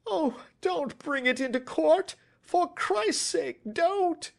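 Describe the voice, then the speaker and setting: A man's voice: high-pitched, animated, measured pace, gravelly, pitched above the performer's own voice, heavily acted. A man voicing a frightened, cringing small-time thief in a detective audiobook, pleading and servile, with a cracking voice. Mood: desperate pleading. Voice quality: cracking, shrieking when desperate. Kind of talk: classic detective audiobook character acting.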